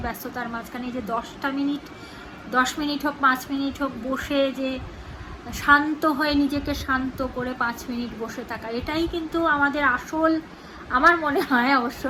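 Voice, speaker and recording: A middle-aged woman with a West Bengal accent chatting casually for a home vlog, rambling and rephrasing as she goes. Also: sits high. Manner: rambling, repeats and rephrases herself. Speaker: family vlogger. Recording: clearly noisy home recording.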